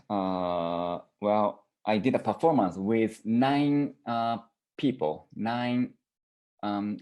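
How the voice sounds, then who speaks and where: Low-voiced man, halting artist talk. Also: a little mellow. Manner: halting, searching for words. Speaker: artist presenting own work.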